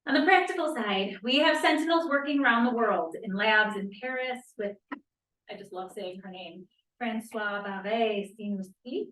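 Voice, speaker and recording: Casting: actress as proud, practical female meeting leader, slightly high voice, heard off-mic in a roomy space. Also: moderate pace, a bit bright, lightly acted. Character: practical, proud woman chairing an activist meeting. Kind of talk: staged table read of a play. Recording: roomy, distant room microphone.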